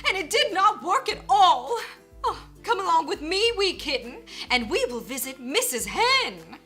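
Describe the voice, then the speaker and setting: Fretful storybook mama cat, played by a woman: extremely high, wildly swooping, meowing and drawing out exclamations. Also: sing-song, a little slow, bright, forceful tone, soft background music, exaggerated voice. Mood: weary dismay. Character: fretful, dramatic mother cat, easily shocked.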